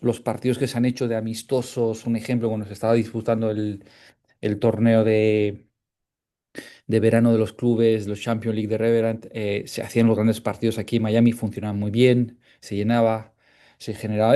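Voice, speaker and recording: A man's voice: on the low side, little pitch movement, normal speed, warm tone. A man from Canada, a sports journalist speaking as a seminar guest, hesitant with frequent fillers, restarts and tag questions. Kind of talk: conversational seminar talk.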